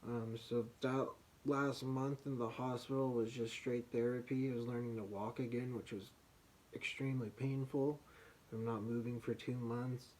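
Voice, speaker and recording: A man with a soft voice from slightly damaged vocal cords, telling a personal story as a vlogger in a halting way, with pauses and restarts. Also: mid-range voice, little pitch movement, poor audio.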